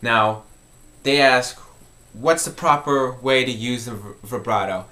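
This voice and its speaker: A man's voice: mid-range voice, measured pace, sharp tone. A man hosting an informal music tutorial, explaining step by step in a conversational way and repeating key points.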